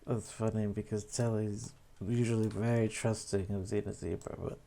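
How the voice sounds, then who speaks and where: A man's voice: lowish voice, little pitch movement, normal speed, mellow. A man narrating a casual editing tutorial, thinking aloud as he works with typing and clicking behind him. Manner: musing, thinking aloud with tag questions. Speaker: video editor demonstrating an edit. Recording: clear background noise with keyboard clicks.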